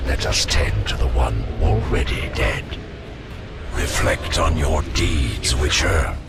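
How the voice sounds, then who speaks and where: Video game villain: ancient death spirit, gravelly and drawn-out, grandiose, archaic, accusing menace. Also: male voice, heavily acted. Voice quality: very deep, gravelly. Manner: solemn, archaic, grandiose pronouncements. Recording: loud music under the voice.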